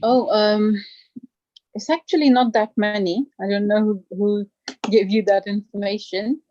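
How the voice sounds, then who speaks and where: A woman's voice: mid-range voice, fairly even intonation, unhurried, a little mellow, a little hiss or hum. A woman from northern Pakistan, a guest lecturer answering questions modestly with many fillers. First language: Burushaski. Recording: dry, lo-fi call audio.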